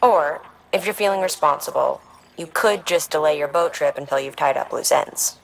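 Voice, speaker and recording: Calm female advisor, deep voice, measured, dryly practical, video game dialogue. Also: vocal fry, slight background noise, low-quality recording. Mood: dry, advising.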